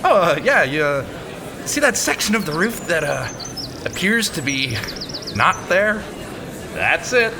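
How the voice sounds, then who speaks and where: A man playing a sheepish slacker who haltingly makes excuses in a comedy sketch. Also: on the high side, big pitch swings, creaky, a crowd in the background, exaggerated voice. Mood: sheepish, bluffing. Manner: halting, evasive. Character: sheepish male slacker excusing a shoddy facility. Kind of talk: sketch comedy audio drama. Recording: noisy background.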